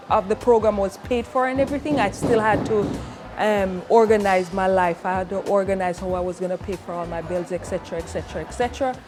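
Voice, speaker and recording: A woman talks earnestly and at a steady pace about her training, now and then stopping on an "um". Her voice sits in a middle range. Music plays clearly under her words. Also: medium pitch. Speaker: trades program student. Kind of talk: testimonial interview.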